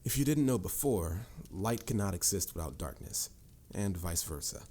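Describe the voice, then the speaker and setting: Deep, mellow mage mentor, acted by a man. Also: expressive, moderate pace, a little hiss or hum. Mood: storytelling, confident. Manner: instructive, theatrical, slightly formal. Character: confident adult male mage mentor, patient, boastful, encouraging. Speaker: voice actor. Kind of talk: scripted fantasy audio roleplay. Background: music.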